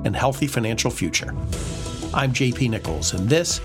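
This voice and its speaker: Male podcast co-host, mid-pitched, scripted intro read over clear guitar music. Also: big pitch swings, normal speed. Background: instrumental guitar music.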